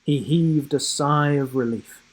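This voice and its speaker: Slow-paced, dramatic male storybook narrator. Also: a little high. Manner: animated, suspenseful storytelling with deliberate pauses. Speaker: children's book narrator.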